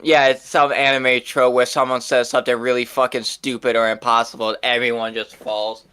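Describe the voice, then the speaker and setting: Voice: mid-range voice, little pitch movement, brisk, fairly bright tone, smooth. A game streamer chatting casually and jokingly, with informal, sweary speech.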